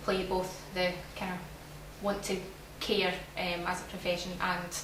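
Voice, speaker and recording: A young woman from Glasgow with a Scottish accent, a student giving measured interview answers with fillers. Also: on the low side, completely flat delivery, far from the mic. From Glasgow, Scotland. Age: young adult. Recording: echoey room, from afar, noisy.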